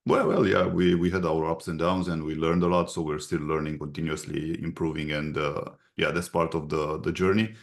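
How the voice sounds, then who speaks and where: A man from Romania with a Romanian accent, explaining advice as an interview guest in a halting, instructive way. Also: rumbling low, little pitch movement, creaky. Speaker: guest expert, agency representative.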